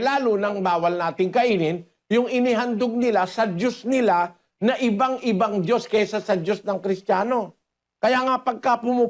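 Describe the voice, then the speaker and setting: Filipino male teacher, notably high voice, explaining things conversationally. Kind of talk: informal religious teaching with anecdotes.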